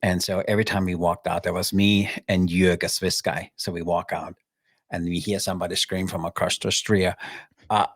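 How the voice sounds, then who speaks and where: A man from Austria, hosting a podcast interview in a relaxed, joking chat style. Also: deep voice, moderate pace, no room sound, clean studio sound. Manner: relaxed storyteller, jokey asides. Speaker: podcast host.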